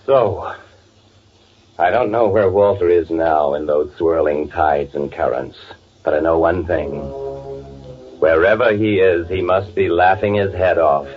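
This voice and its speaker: A man's voice: deep voice, fairly even intonation, measured pace, faint music behind. An actor playing a frantic, guilt-ridden man posing as his dead twin, stammering and pleading in old-time radio drama style. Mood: bitter, unhinged. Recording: tinny, noisy old radio recording.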